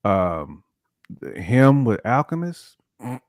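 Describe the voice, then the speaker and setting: A man's voice: mid-range voice, very animated, takes it slowly, no room sound, clean studio sound. A man chatting casually as a hip hop podcast panelist, slangy and repeating phrases for emphasis.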